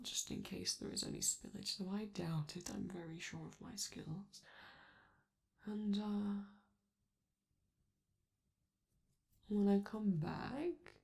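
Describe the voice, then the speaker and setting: Glamorous robot celebrity acted by a woman, ASMR roleplay, very gravelly fry, mellow and soft-edged, theatrical, doting. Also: lowish voice, heavily acted. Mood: cosy, fussing. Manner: theatrical, affectionate, drawn-out phrasing, calls listener darling. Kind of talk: ASMR comfort roleplay, addressing the listener.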